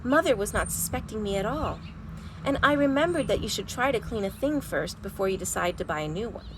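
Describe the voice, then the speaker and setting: A woman reads a children's story aloud over noticeable background noise. Her voice sits at a medium pitch, and she reads expressively, pausing for drama as a storytime librarian would. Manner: animated, dramatic pauses, chanting sound words. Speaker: librarian reading picture books at storytime. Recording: noisy home recording.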